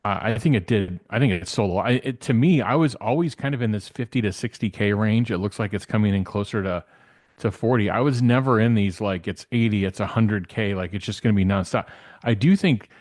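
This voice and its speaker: A man's voice: on the low side, a little fast, darkish tone, dry recording, clean studio sound. A man giving casual, off-the-cuff commentary as an interview guest, often rephrasing as he goes.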